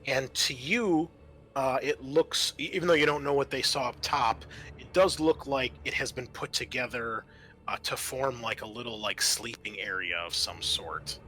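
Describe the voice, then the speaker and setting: A man acts as game master in a tabletop roleplaying session. His voice is bright and forceful, describing scenes in halting, improvised detail. Soft music plays under his words. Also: medium pitch.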